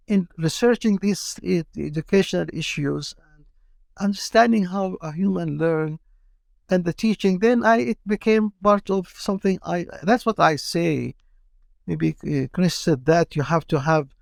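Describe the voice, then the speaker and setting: A middle-aged man from Palestine, a teacher speaking as a guest in a casual interview, halting and often repeating his words. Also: high-pitched, vocal fry, no room sound.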